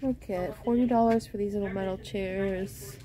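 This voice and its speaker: A woman's voice: mid-range voice, steady tone, normal speed, music in the background. A woman vlogging a casual shopping trip through a home goods store, pointing out items and reading prices aloud. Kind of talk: casual shop-with-me narration.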